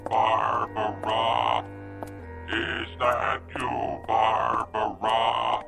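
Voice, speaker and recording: An actor playing a literal-minded male robot, speaking in a flat, measured way in a radio drama. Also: on the low side, gravelly, over music, full character voice. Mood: greeting. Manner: flat, measured, emotionless delivery.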